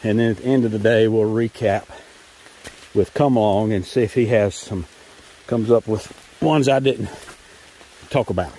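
A man talks at a very unhurried pace as he narrates a trail hike outdoors. His delivery is casual and halting, wandering from one thought to the next with a wry edge. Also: mid-range voice, a little mellow, slight background noise, low-quality recording. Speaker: hiking vlogger. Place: outdoors on a forest trail in rain.

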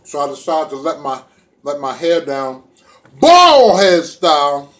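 A middle-aged man with a slightly high voice chats casually to a camera propped nearby in a room at home. He is laid-back and playful, speaking in loose everyday slang as a food vlogger. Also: sing-song, measured pace, fairly bright tone. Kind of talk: casual chat to camera while eating. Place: small room indoors at home. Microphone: phone or camera propped within arm's reach.

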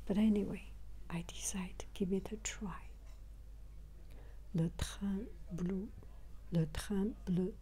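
An older woman giving a reflective travel vlog narration, haltingly, repeating phrases as she searches for words, in a breathy, whispery voice. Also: mid-range voice, fairly even intonation, dark tone, a little hiss or hum. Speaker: travel vlogger.

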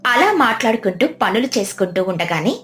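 A woman's voice: mid-range voice, fast, a bit bright. A woman narrating a scripted children's moral story as a storyteller. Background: music.

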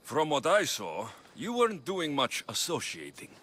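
Blunt, bright-voiced Greek mercenary. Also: male voice, fairly high pitch, wildly swooping pitch, normal speed, exaggerated voice. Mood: challenging. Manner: dry, challenging. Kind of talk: dramatic video game dialogue.

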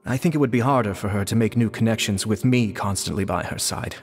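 An actor voicing a courteous, composed young nobleman in a video game, speaking in a formal, measured way. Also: lowish voice, long reverb tail, soft background music, natural voice. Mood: polite, calm.

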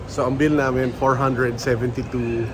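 A man's voice: medium pitch, flat delivery, moderate pace, background noise. A Filipino-accented man in his thirties chatting casually and playfully as a travel vlogger, recorded on a handheld camera. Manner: playful, informal. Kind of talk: casual vlog chat mixing Tagalog and English. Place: on the go: hotel room, malls, streets, restaurants. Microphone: handheld camera or phone at arm's length.

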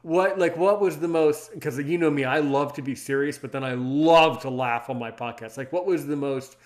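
A man's voice: a little high, moderate pace. A man from Maryland hosting a podcast, talking in a rambling, teasing way and often repeating his words. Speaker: podcast host. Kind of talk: casual interview chat.

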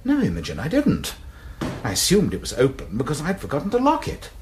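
An actor playing a fussy, apologetic college librarian in a radio drama. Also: male voice, on the high side, wildly swooping pitch, brisk, a little mellow, slight background noise. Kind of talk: BBC radio drama acting.

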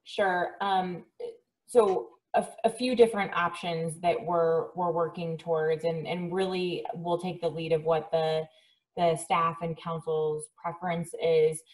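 A woman with a fairly low, very even voice explains plans in a measured, procedural manner. She is on a remote meeting call and sounds some way from the microphone. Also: recorded from a distance, low-quality recording. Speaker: waste agency staff member. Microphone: phone or video call.

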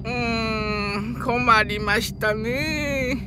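A woman voicing a gentle, earnest male engineer with very polite, formal speech in a Japanese game drama. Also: fairly high pitch, drawn-out words. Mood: worried. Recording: music behind the voice.

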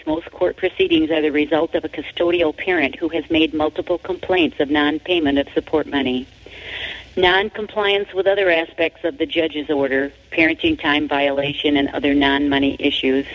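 A woman with an American accent reads scripted narration from her own book in a formal, measured manner. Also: low voice, steady tone. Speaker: author reading own book. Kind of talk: scripted narration, read aloud. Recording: dull lo-fi radio-like audio.

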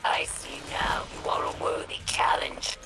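A woman playing a fierce, proud alien warrior in a video game, with a harsh breathy growl. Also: in a whisper, lightly acted. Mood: menacing, taunting. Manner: slow, menacing declarations. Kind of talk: video game villain acting. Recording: loud game music and effects behind.

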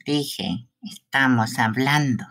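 Someone voicing a slow, creepy boy killer. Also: mid-range voice, a bit bright. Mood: annoyed. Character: creepy sweet-talking boy killer in horror game.

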